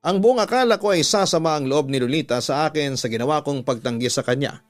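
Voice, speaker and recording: A man with a Filipino accent narrating a scripted story as a radio host, measured and deliberate, drawing out the ends of phrases. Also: fairly high pitch, no room sound. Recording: background music under a dry studio voice. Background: music.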